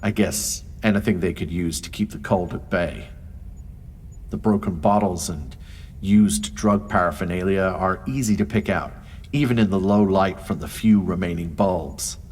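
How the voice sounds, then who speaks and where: An actor playing a weary, wry crime scene investigator, dryly narrating a noir audio drama. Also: male voice, low voice, moderate pace, darkish tone, breathy edge. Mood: brooding. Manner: world-weary, dry, sardonic.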